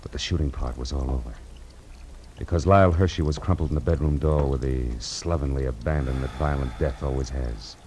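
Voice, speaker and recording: An actor playing a tough, cool-headed private detective in 1940s radio noir style, with wry, clipped slang. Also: male voice, very deep voice, a little fast, warm tone, a little hiss or hum. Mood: grim narration. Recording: old radio recording with music behind.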